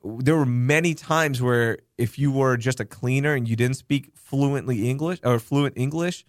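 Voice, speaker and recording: A young man, born in Pakistan, hosting a casual podcast chat, telling candid, animated stories with some swearing. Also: mid-range voice, normal speed, no echo, studio quality. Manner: candid, animated storytelling with profanity. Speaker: podcast host.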